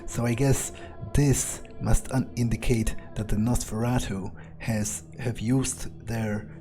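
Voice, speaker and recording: A man giving in-character gameplay commentary, thinking aloud in a musing, hesitant way with pauses mid-phrase. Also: mid-range voice, fairly even intonation. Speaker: gamer doing an in-character playthrough. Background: game music.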